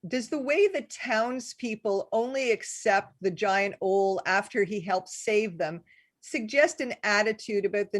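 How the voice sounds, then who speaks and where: A woman's voice: medium pitch, no echo. Dry, close-sounding woman moderator. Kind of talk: reading out audience questions, live event hosting.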